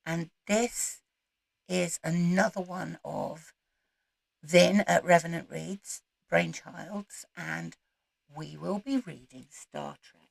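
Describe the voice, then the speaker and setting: A woman talks casually to her viewers in a croaky voice that is still recovering. It is heavy with gravelly fry and moves at an easy, slightly slow pace with pauses between short phrases. The sound is dry and close, with little room around it. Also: lowish voice, no echo. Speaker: host of a book channel. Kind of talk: casual chat to camera about reading plans.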